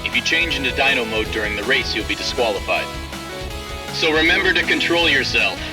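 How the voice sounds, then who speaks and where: An actor voicing a calm adult male team captain who gives orders steadily in an animated cartoon dub. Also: mid-range voice, brisk, music in the background, close to an everyday voice.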